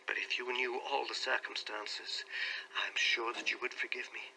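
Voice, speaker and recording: A man's voice: mid-range voice, flat delivery, moderate pace, vocal fry, sharp tone, in a whisper, rough recording. A man voicing a distraught, secretive wife, pleading with breathless, impassioned desperation in an audiobook story. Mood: subdued apology.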